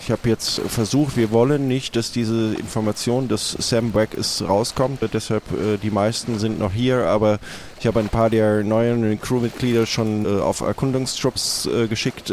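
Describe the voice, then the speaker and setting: A man playing a flustered, formal pirate guild official who speaks haltingly in an improvised role-play. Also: lowish voice, a little mellow, close to an everyday voice. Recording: clearly noisy background.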